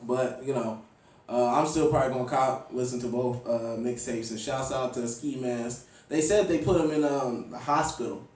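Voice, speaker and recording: A man's voice: mid-range voice, moderate pace, a little mellow. A man talking as an informal, slangy interview host in casual hip-hop conversation. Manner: informal slangy, frequent "you know what I'm saying". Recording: roomy echo, distant from the mic.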